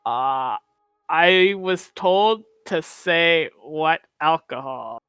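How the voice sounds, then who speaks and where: A young man playing a tabletop roleplaying game, speaking in character in a halting, stammering way. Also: fairly high pitch, pushed and bright, smooth, no echo, soft background music. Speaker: player.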